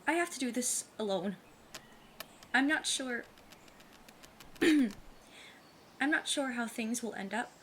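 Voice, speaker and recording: A woman playing a plucky, earnest fifteen-year-old witch's apprentice in an audio drama, with a husky voice and formal, precise wording. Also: on the high side, expressive, slow pace, pauses often, slight background noise. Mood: guarded, resolute. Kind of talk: scripted audio drama acting.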